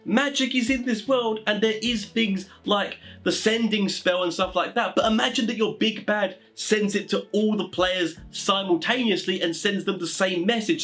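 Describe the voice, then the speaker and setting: Rambling man with a slightly high voice. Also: a bit bright. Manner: rambling, conversational, repeats stock phrases. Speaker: tabletop gaming advice presenter. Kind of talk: informal explainer talk.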